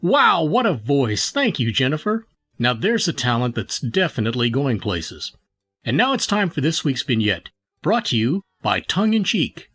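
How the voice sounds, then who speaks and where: A man's voice: medium pitch, very animated, normal speed, bright, forceful tone, slightly rough. A man announcing in old-time radio style, presenting with showy enthusiasm.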